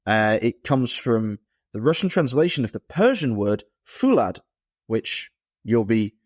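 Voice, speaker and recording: A man's voice: medium pitch, sing-song, measured pace, a little mellow, no room sound, studio quality. A man co-hosting a casual podcast chat, explaining things fluently and with enthusiasm. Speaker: podcast co-host.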